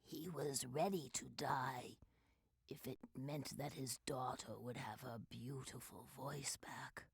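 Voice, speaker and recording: A woman playing a mystical sea spirit who recounts a tragic tale theatrically in an amateur game fan dub, drawing out words between breathy whispers and loud swells. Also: a bass voice, steady tone, slow pace, no room sound, studio quality, exaggerated voice. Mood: hushed, sorrowful.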